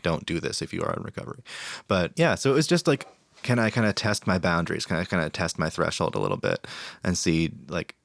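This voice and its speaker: A man's voice: extremely low pitch, creaky, fairly bright tone, dry recording, clean studio sound. A man in a casual podcast panel, reflecting aloud on socializing and drinking, with frequent restarts. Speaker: podcast guest.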